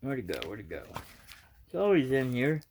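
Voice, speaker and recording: A man reading aloud and giving his reaction as a vlogger, in an informal, halting, rambling way. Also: medium pitch, very animated, darkish tone, a little hiss or hum.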